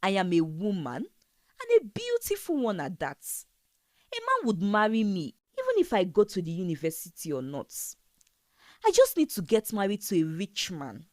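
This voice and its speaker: A woman voicing a vain, scheming young woman in a folktale, boastful and wheedling with drawn-out interjections. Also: medium pitch, huge pitch swings, a little mellow, dry recording, clean studio sound. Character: vain, scheming young Nigerian woman, lazy gold-digger.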